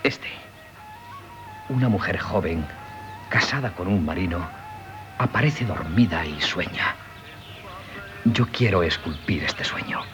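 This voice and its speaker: An actor playing an earnest, polite and sickly young would-be sculptor in a Spanish radio play, with a Castilian accent and hesitant delivery. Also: male voice, deep voice, lively intonation, slow pace, pauses often. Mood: dreamy, intense. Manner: polite, hesitant, deferential storyteller. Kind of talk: 1970s Spanish radio theatre acting. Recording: 1970s radio drama with music.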